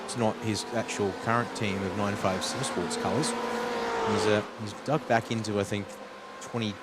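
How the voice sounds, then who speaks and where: Australian man, lowish voice, co-commentator, loud car noise behind. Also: fairly even intonation, moderate pace. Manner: chatty analysis with many fillers. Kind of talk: live sim racing commentary.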